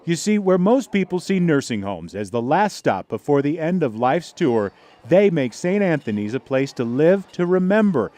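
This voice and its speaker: A man's voice: fairly high pitch, big pitch swings, moderate pace, mellow. A man reading scripted narration for a human-interest television feature in a folksy, storytelling manner. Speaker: feature reporter.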